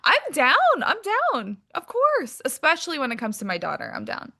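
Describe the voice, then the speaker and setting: Woman, interview guest, fairly high pitch, bright forceful tone, casual, candid, loose slangy phrasing. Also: wildly swooping pitch, moderate pace, creaky. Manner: candid, informal, peppered with "like", mild swearing. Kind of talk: casual chat.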